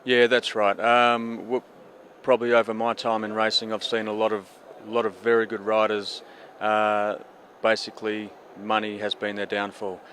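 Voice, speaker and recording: Low, flat-voiced racing trainer. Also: male voice, normal speed, slight background noise. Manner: hesitant, many fillers and restarts. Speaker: apprentice jockey trainer. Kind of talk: interview answers.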